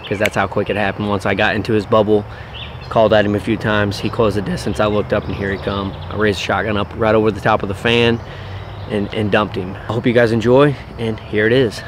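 A man's voice: on the low side, little pitch movement, a little fast, noisy background. A young man, casually recapping a turkey hunt outdoors, rambling and repeating words as he goes. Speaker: turkey hunter. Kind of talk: casual hunting vlog recap. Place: outdoors by woods.

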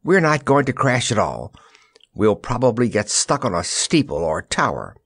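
A man's voice: medium pitch, sing-song, moderate pace, a bit bright, slightly rough, dry recording, heavily acted. A man voicing a spirited, snappy girl adventurer in a storybook, calling out shrilly.

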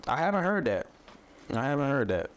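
A man who makes mental health content, talking thoughtfully in a relaxed conversation, with many fillers and restarts. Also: mid-range voice, a little fast, a little hiss or hum. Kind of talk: casual conversation. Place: studio set with a table.

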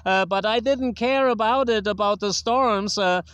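German-accented male radio operator, high smooth voice, dry open-air sound, casual and repetitive. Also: a bit bright, no echo, dull audio. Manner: repeats phrases deliberately. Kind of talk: casual ham radio contact. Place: outdoors on a grassy riverbank. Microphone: handheld tablet recording.

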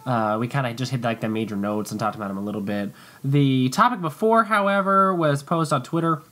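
Fast-talking man co-hosting a podcast. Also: medium pitch, sharp tone. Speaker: podcast co-host. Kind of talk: casual chat.

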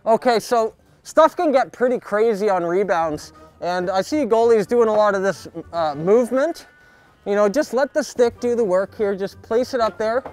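A young man outdoors giving a jokey sports tutorial, coaching in a mock-serious, deadpan way full of hockey slang. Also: high voice, normal speed. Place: backyard, outdoors. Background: music.

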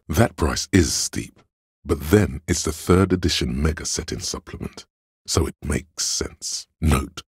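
An actor playing a detective's inner voice of logic in a video game, a grave, analytical man with a gravelly rasp and a deliberate, dry, detached delivery. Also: rumbling low, dry recording, full character voice. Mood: cool, deducing.

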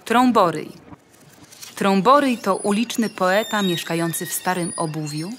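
A woman's voice: medium pitch, measured pace, fairly bright tone. A woman reading scripted narration in the style of a nature documentary for an audio play. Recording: music behind the voice. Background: music.

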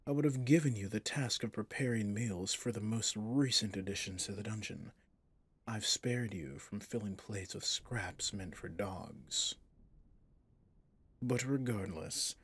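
Guy acting as a possessive villain boss for asmr roleplay, low breathy voice, formal and commanding but indulgent. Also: fairly bright tone, no echo, full character voice. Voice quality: husky, breathy. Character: smooth possessive male villain boss, doting on favorite servant.

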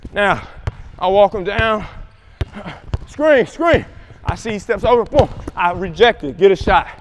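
A man's voice: high voice, takes it slowly, pauses often, a little hiss or hum. A young man coaching basketball moves, calling out plays with energy in a large indoor gym. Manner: energetic, shouts calls on court. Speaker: basketball trainer. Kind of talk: coaching demonstration.

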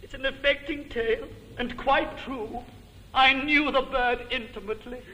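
A man's voice: extremely high pitch, steady tone, moderate pace, pushed and bright, slight background noise, rough recording. A man playing a timid, lovesick comic suitor in an operetta, pleading in patter and singing a mournful ballad. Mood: earnest. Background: orchestra.

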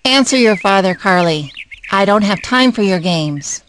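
A woman's voice: mid-range voice, animated, moderate pace, fairly bright tone, slight background noise, natural voice. A woman playing an irritable, cutting divorced mother in an audio drama, curt and scolding. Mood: snappish. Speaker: voice actor.

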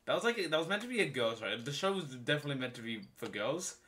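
Aussie young guy gaming with mates, bright and jumpy voice, jokey, faint clicking behind. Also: a little high, sing-song, quick pace. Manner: playful, jokey, laughs often. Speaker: gamer. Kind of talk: casual gaming chat.

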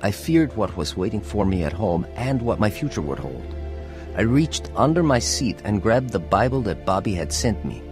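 A man's voice: fairly low pitch, big pitch swings, moderate pace. An actor playing an earnest, troubled young navy man in a confessional radio drama. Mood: reflective narration. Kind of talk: radio drama narration and acting. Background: music.

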